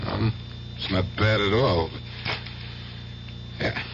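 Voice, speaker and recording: An actor playing a streetwise, skeptical, scheming uncle in an old radio drama. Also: male voice, on the low side, slow, vocal fry. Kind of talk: 1970s radio drama acting. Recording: muffled, hissy old radio recording.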